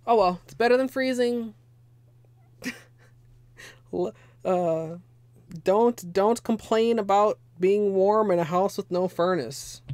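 A hoarse young woman chatting casually through a nail art tutorial at her desk at home, rambling with lots of fillers. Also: lowish voice, a little mellow, dry recording. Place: small home room with space heater.

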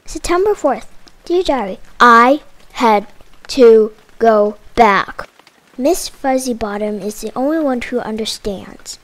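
A woman reading a children's picture book aloud in an expressive, emphatic storytelling manner. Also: a little high, takes it slowly. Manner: expressive, emphatic delivery with dramatic exclamations. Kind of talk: animated children's read-aloud.

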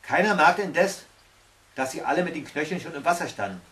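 A man's voice: fairly high pitch, lively intonation, brisk, fairly bright tone. A man in his sixties reading a fairy tale aloud at home, as a storyteller. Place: small tiled-stove corner indoors at home. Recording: recorded from a distance.

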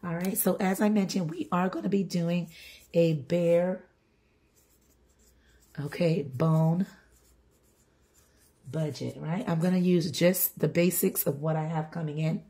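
A middle-aged woman with a groggy, hoarse voice from being sick, chatting casually as she hosts her home budgeting vlog. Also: on the low side, fairly even intonation, unhurried, long pauses, warm tone. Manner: chatty, repeats "all right" and "okay". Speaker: budgeting channel host. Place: home, table-top filming.

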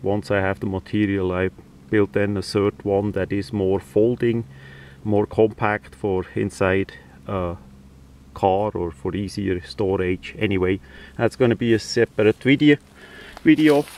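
Non-native English-speaking man, lowish voice, unhurried and halting, informal DIY demo with faint outdoor noise. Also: steady tone, a little mellow. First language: not English. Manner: halting, pauses often mid-phrase. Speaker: presenter of a DIY radio antenna video. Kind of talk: casual hands-on demonstration talk. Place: outdoors in windy weather.

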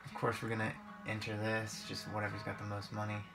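A man's voice: lowish voice, monotone, fairly quick, gravelly. A young man doing casual gameplay commentary in a hesitant, rambling, informal way. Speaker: gaming video creator. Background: guitar music.

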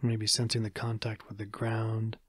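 An American man guides a meditation in a calm, measured manner with long pauses. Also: fairly low pitch, slightly rough, no echo. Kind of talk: guided body scan meditation.

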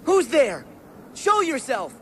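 High-pitched, defiant teenage hero. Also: male voice, huge pitch swings, takes it slowly, a little hiss or hum, full character voice. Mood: demanding. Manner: youthful, blunt, challenging. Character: earnest, defiant teenage boy hero with a hot temper. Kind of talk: English video game cutscene voice acting. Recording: music playing under the voice.

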